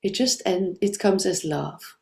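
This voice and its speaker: A woman from Austria hosting a relaxed spiritual discussion, speaking gently and laughing often. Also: medium pitch, unhurried, creaky. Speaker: host.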